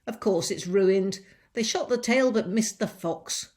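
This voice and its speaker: A woman voicing an impatient, bossy farmer's wife who shouts orders from upstairs. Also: mid-range voice, measured pace, voice pushed up, lightly acted.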